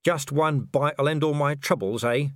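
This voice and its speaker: A man's voice: on the high side, no echo, studio quality, voice pushed up, natural voice. Man voicing a silky aristocratic lady vampire. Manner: slow, silky, languid delivery. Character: suave, poised aristocratic female vampire.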